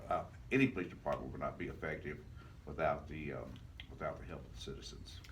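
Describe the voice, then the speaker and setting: Very deep-voiced man in his seventies. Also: fast talker. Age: 70s. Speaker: retired police officer, guest. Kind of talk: casual reminiscing interview talk. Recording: distant mic, faint background noise.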